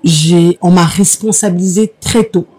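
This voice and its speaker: A woman's voice: fairly low pitch, steady tone, measured pace, dark tone. A woman from the Democratic Republic of the Congo, a gospel singer, speaking earnestly and reflectively in an interview. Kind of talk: interview conversation.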